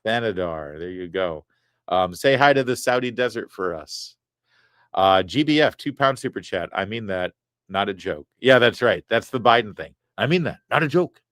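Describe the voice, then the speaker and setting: A man's voice: lowish voice, expressive, normal speed, fairly bright tone, no echo, clean studio sound. A man hosting a live talk stream, reading viewer messages aloud with chatty, wry asides. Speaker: talk show host reading viewer super chats. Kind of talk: casual live-stream chat. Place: studio set.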